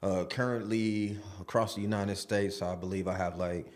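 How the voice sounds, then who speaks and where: A man's voice: low-pitched, fairly even intonation. A man of forty, a podcast guest, telling his story openly in a studio with frequent restarts. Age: 40s. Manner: open, storytelling, halting restarts. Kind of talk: casual studio interview.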